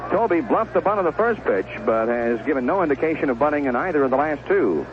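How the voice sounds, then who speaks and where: Animated baseball announcer on noisy old radio, male. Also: a little high, fast. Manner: conversational, descriptive, clipped short phrases. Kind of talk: live baseball play-by-play commentary. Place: stadium broadcast booth. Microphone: broadcast microphone with headset earphone monitoring. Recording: noisy, dull 1970s AM radio broadcast.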